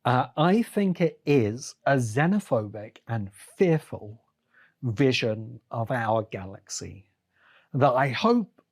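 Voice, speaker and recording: A man hosting a podcast solo, giving conversational commentary in an emphatic, deliberate way, repeating phrases for effect. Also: medium pitch, expressive. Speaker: podcast host and critic.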